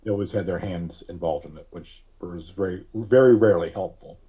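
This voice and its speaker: A man speaking as a podcast guest, recalling things casually in a halting, deliberate way with frequent long hesitations. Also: deep voice, slightly rough, muffled recording. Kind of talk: casual interview recollection.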